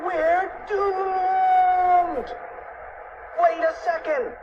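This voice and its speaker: Guy doing a squeaky excitable little kid from a storybook, way high, kinda noisy recording. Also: moderate pace, recorded from a distance. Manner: shrill, exclamatory, squealing delivery. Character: excitable, panicky little schoolboy, an inch tall. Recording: noisy, muffled lo-fi audio.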